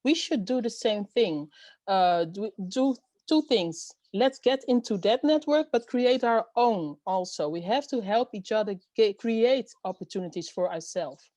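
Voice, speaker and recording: A middle-aged woman speaks earnestly in an interview, often pausing with fillers as she gathers her thoughts. Her voice sits at an ordinary pitch and sounds dry and close, with no room around it. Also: expressive, mellow, no room sound. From Netherlands. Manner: earnest, hesitant with many fillers. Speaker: guest, storyteller and event host. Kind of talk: interview answers.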